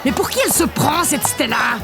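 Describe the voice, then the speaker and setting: Imperious high-voiced lady president. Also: fairly quick, gravelly, loud music behind the voice. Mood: furious. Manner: theatrical, haughty. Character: imperious, vain, furious female president.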